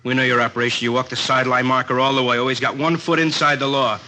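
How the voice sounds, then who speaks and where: An actor playing a tough, commanding police captain in a radio crime drama, blunt and hard-boiled. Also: male voice, medium pitch, level tone, fast, pushed and bright, lightly acted. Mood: stern, accusing. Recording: noisy old radio drama recording.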